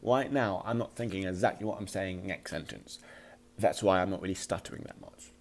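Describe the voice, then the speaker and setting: A man with a low-pitched voice talks informally and motivationally, as on a podcast. His speech has a slight occasional stutter, with repeated words and a halting flow, and he leans firmly on his key points. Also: vocal fry, a little hiss or hum. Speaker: podcast host.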